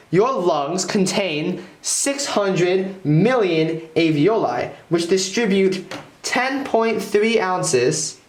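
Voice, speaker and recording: Slow, lively-pitched man in echoey room. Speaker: presenter of a lab lesson. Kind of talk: scripted instructional narration. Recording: echoey, roomy sound.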